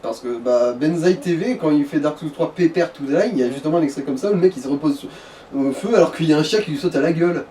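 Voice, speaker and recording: A man's voice: fairly high pitch, fast, few pauses, warm tone. A man casually guiding a friend through a video game, explaining hesitantly with repeated words and crude slang. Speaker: co-commentator guiding the player. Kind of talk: casual gameplay chat, giving directions. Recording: distant mic with background noise.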